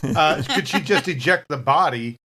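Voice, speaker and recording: A woman's voice: sits low, expressive, normal speed, a bit bright, slightly rough. A woman playing a tabletop game, casually and wryly thinking through what her character does.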